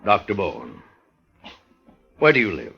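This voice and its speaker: An actor playing a formal, probing prosecutor in old radio drama, speaking with precise, deliberate oratory. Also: male voice, low-pitched, huge pitch swings, vocal fry, a bit bright, full character voice. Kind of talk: 1940s-50s radio courtroom acting. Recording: muffled old radio recording.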